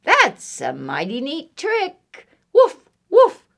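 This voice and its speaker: A woman voicing an easily impressed male dog in a picture book, with excitable woofs. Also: high voice, slow, gravelly, pitched above the performer's own voice, exaggerated voice.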